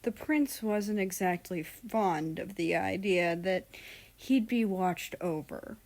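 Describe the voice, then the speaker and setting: A woman's voice: mid-range voice, slow, creaky, darkish tone. A woman reading a fan fiction story aloud as scripted narration.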